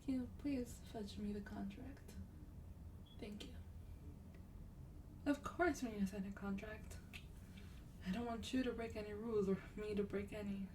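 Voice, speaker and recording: A woman playing a sweet, teasing vampire demon in a gentle, breathy, partly whispered ASMR roleplay. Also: medium pitch, steady tone, natural voice. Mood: gracious, polite, then gentle warning. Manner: gentle, polite, unhurried, coaxing. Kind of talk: soft-spoken ASMR roleplay. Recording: distant mic, clear background noise.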